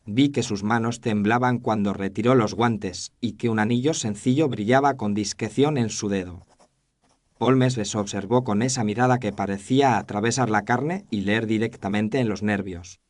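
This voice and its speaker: A man's voice: on the low side, normal speed, darkish tone. A man narrating a scripted first-person detective story in a formal, literary storytelling manner.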